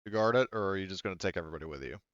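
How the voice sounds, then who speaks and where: A man with a clear, smooth voice works as game master for a tabletop roleplaying session. He narrates in a halting, chatty way and slips into asides. The audio is very dry and a little dull. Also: sits low, animated, fairly bright tone, dry recording.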